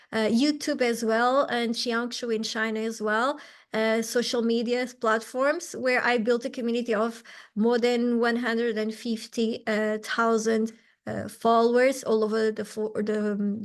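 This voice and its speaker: A Portuguese woman in her forties, speaking English with a Portuguese accent, teaching an online lesson in a chatty, bubbly way with frequent laughter. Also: a little high. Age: 40s. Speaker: language teacher.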